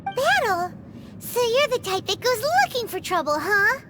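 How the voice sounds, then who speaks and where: A tiny childlike fairy sidekick from a video game. Her voice is extremely high and squeaky, swinging with excitable indignation. Loud music runs behind it. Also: a little slow, exaggerated voice. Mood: wary. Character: tiny feisty childlike female fairy companion.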